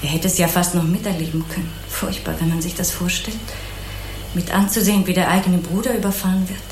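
An actress playing a kindly, naive, chatty housewife in an obliging, rambling way in a German crime radio play. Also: female voice, fairly low pitch, steady tone, fast, a little mellow, roomy sound, slight background noise, natural voice. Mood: sorrowful sympathy. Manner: obliging, artless, rambling, sympathetic.

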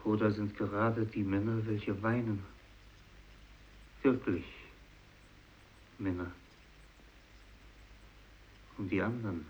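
A man playing a dying, haunted Japanese fisherman in a radio play monologue, deliberate and insistently addressing his listener. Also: lowish voice, slight background noise. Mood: bitter, brooding. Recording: dull vintage radio recording.

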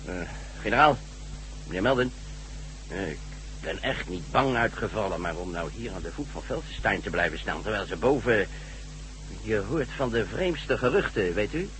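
An actor playing a respectful, uneasy police helicopter pilot in a science-fiction radio drama. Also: male voice, fairly low pitch, lively intonation, moderate pace, pauses often, a bit bright, slight background noise, rough recording. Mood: nervous.

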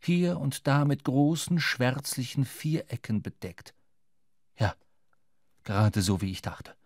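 A man's voice: mid-range voice, sing-song, takes it slowly, a little mellow, no room sound, studio quality, full character voice. A man playing a wry, brooding seafarer who narrates his own adventure in a literary radio drama. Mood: tense, hushed. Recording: clear music behind the voice.

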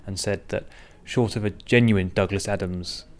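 A man's voice: fairly low pitch, steady tone, moderate pace. A man talking casually as the interviewer in a conversation.

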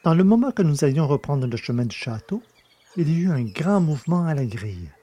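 A man reading scripted literary narration as an audiobook narrator, with a mellow, slightly husky voice. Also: on the high side, big pitch swings, brisk, dry recording.

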